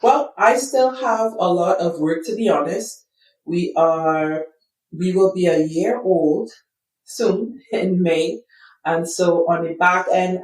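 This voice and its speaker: A middle-aged woman from Trinidad and Tobago with a Trinidadian accent, answering interview questions in an earnest, measured way and repeating words as she thinks. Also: lowish voice, darkish tone. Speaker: guest, school psychologist and business founder. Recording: roomy sound, distant from the mic.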